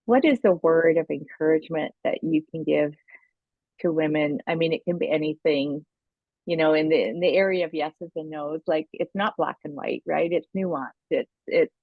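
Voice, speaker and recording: Podcast host, woman, slightly low pitch, affirming delivery, phone-like narrowband sound. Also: moderate pace, no echo. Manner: affirming, effusive, gropes for words in lists. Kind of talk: conversational interview.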